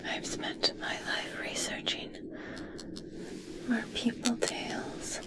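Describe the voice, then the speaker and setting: A woman playing an awestruck, obsessed scientist in a whispered ASMR roleplay, speaking in a hushed, halting, gently fascinated way. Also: natural voice. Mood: fascinated, gentle. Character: obsessed, awestruck female scientist studying merpeople.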